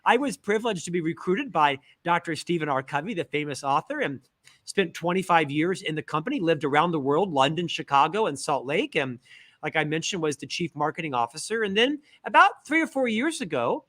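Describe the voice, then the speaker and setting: A middle-aged man from Central Florida, a guest author in a casual interview, telling lively stories with self-deprecating humor. He has a stutter. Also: a little high, fairly quick. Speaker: guest, author and podcast host.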